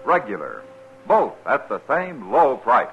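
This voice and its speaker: A man's voice: medium pitch, huge pitch swings, unhurried. A man announcing a scripted 1950s radio show in a dramatic, declamatory manner. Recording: muffled old radio recording over music.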